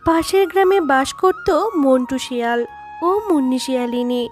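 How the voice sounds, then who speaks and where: A woman's voice: high-pitched, animated, moderate pace, faint music behind. A woman narrating a scripted fairy tale as a storyteller.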